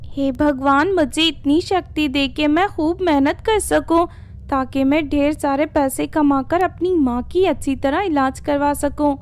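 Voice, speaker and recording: Woman playing a very high-pitched earnest daughter. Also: unhurried. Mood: pleading. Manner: earnest, pleading. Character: poor, devoted, determined young daughter.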